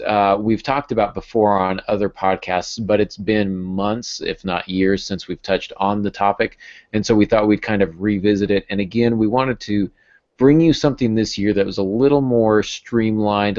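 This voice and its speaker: An American man in his thirties chatting casually as a podcast host over a video call. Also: lowish voice. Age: 30s. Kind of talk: casual chat. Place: home room with bookshelves. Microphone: earphone headset on video call. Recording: dull, lo-fi video call audio.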